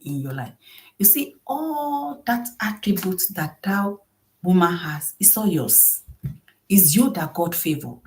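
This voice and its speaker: A soft-voiced middle-aged woman hosting a podcast, giving earnest solo advice on marriage and often repeating key phrases for emphasis. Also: on the low side. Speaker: podcast host.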